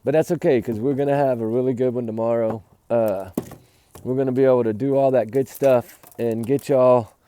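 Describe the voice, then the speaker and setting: A man's voice: mid-range voice, fairly even intonation, normal speed, mellow, smooth. A man who is losing his voice, rambling earnestly to his viewers during a casual night talk outdoors by a campfire. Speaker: livestream host.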